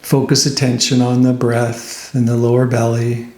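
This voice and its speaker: A man leading a guided meditation as a teacher, speaking gently and unhurriedly, with pauses between phrases. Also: medium pitch, monotone, echoey room.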